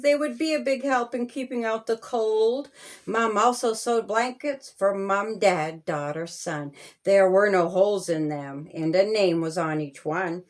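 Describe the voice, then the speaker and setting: A woman reading a children's storybook aloud as its author, in a measured, sing-song rhyming delivery. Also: on the high side.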